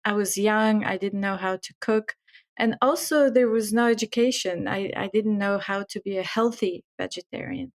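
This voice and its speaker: A woman's voice: mid-range voice, moderate pace. A middle-aged woman from Bulgaria with a Bulgarian accent, a guest telling her story earnestly in an interview and laughing often. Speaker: guest chef and author.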